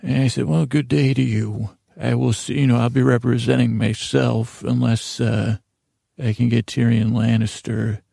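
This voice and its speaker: A man hosting a bedtime podcast in a gravelly voice, with a meandering, halting monologue that keeps interrupting itself and wandering off. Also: medium pitch, flat delivery, warm tone, no room sound, studio quality. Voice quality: gravelly, creaky. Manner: meandering, halting, self-interrupting, trails off on tangents. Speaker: podcast host.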